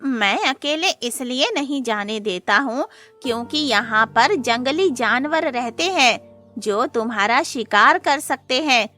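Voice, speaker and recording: A woman's voice: a little high, measured pace, pushed and bright, soft background music. A woman voicing a protective, worried deer in a cartoon story, earnest and concerned. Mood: concerned scolding. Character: brave, protective, worried deer who raises a child. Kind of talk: cartoon moral-story acting.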